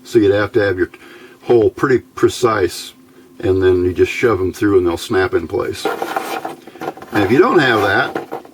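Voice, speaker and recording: A man with a fairly low voice gives a casual, hands-on electronics tutorial. He speaks very slowly, pausing and thinking aloud as he explains each part. A faint background noise sits under the voice. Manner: halting, unhurried, thinking aloud. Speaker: electronics hobbyist video host.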